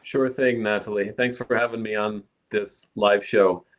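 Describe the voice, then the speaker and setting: A man from the United States, a guest life coach explaining his work calmly on a video call. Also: fairly low pitch, a little slow. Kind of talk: explanatory chat.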